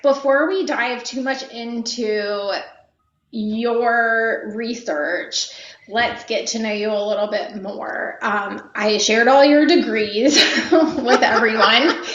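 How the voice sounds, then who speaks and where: Slow-talking woman host in an echoey room. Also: fairly high pitch, fairly bright tone. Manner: reads the introduction, with frequent "um" and "uh" fillers. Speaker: podcast host. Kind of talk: interviewing a guest. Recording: echoey, dull lo-fi audio.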